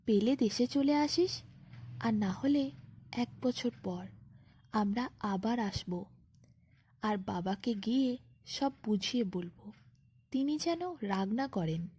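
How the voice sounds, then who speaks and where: Woman voicing a gentle, slow-speaking elder fairy sister. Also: fairly high pitch, slightly rough, dry recording, full character voice.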